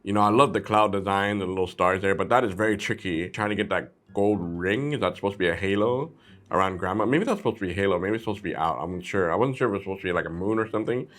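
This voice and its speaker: A man's voice: low-pitched, a bit bright. A man hosting a casual, chatty product review, talking informally and playfully straight to his viewers. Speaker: host of a brick-set review channel.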